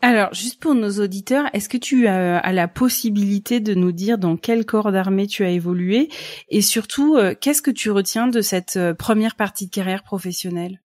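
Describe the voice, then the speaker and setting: Measured, polished podcast hostess. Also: female voice, mid-range voice, darkish tone. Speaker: podcast host. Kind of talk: scripted podcast intro and interview questions.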